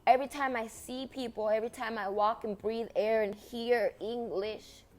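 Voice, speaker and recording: A woman's voice: on the high side, steady tone, moderate pace, darkish tone. A young woman whose first language is Spanish, speaking in an impassioned personal monologue, earnest and repetitive with emphatic stresses.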